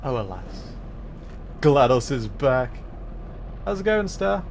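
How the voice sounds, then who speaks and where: A man's voice: mid-range voice, very animated, slow pace, vocal fry, slight background noise. A man streaming a video game, chatting casually and explaining the game's design with nerdy enthusiasm, rambling and laughing. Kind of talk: casual gaming commentary. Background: game music.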